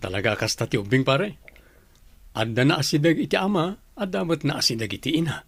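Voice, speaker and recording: A man's voice: fairly high pitch, lively intonation, a little fast, creaky, breathy edge, no room sound, heavily acted. A man playing a teasing, gossipy village buddy in an Ilocano radio comedy-drama.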